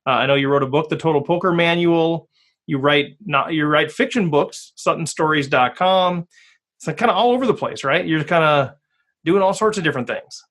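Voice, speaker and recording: A man's voice: fairly high pitch, normal speed, a bit bright. A man hosting a podcast interview, joking and rambling with many restarts. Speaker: podcast host. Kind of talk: casual interview.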